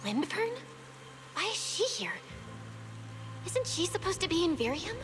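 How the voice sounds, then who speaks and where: A young game heroine with a high voice, earnest and caring toward her comrade. Loud music plays over her. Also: very animated, unhurried, heavily acted. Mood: worried, puzzled. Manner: urgent, earnest. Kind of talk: video game voice acting.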